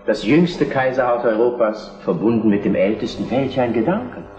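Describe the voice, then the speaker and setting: Man as a flattering court ambassador, grand delivery, radio play, dull echoey sound. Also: medium pitch, moderate pace, natural voice. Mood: persuasive. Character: Metternich, persuasive, flattering Austrian ambassador. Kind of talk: German historical radio drama acting.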